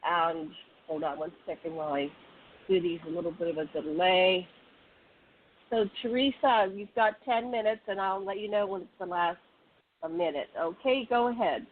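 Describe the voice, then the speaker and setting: A woman moderating a call-in panel show, brisk and practical, giving instructions to guests. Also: on the low side. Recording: tinny phone line with hiss.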